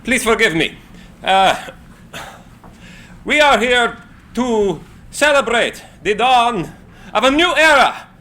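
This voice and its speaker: Bright-voiced Nikola Tesla, earnest formal inventor, acted. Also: male voice, extremely high pitch, lively intonation, exaggerated voice. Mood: earnest, resolute. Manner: formal, halting, measured oratory. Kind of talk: screenplay table reading. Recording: faint background room noise.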